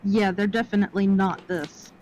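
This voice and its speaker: A woman's voice: medium pitch, steady tone, moderate pace, a little mellow, lo-fi sound, soft background music. A woman chiming in casually as a co-commentator on a game stream.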